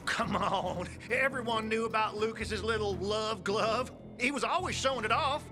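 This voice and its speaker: A man acting a scared, slippery street reseller from a video game. His voice sits very high for a man and sounds defensive and nervous. Music plays clearly behind him. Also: natural voice. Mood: defensive bluster. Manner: nervous, defensive, fast-denying. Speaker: fence.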